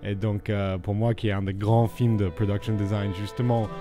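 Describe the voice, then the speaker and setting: Mellow male film commentator over soft music. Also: fairly low pitch, flat delivery, moderate pace. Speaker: film critic, radio contributor.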